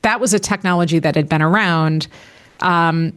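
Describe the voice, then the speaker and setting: A woman's voice: on the low side, normal speed, fairly bright tone, clean tone. A middle-aged woman from Northwest Indiana, talking through her points in a casual panel discussion, restarting her sentences as she thinks. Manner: earnest, thinks aloud with many restarts.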